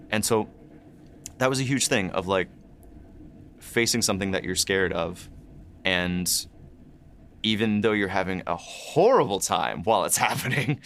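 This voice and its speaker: A man's voice: low voice, fairly bright tone, a little hiss or hum. A man co-hosting a podcast, chatting casually about writing in a hesitant, rambling way, often repeating words as he thinks. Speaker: writer and co-host of a behind-the-scenes podcast. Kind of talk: casual chat, reflecting on writing.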